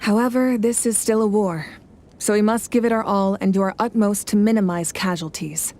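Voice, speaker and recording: A regal female war leader played by a woman in a video game, with a medium-pitched voice. She speaks formally and with measured authority, composed and reassuring. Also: steady tone, a little mellow, close to an everyday voice. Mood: grave, resolute. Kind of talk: video game dialogue acting.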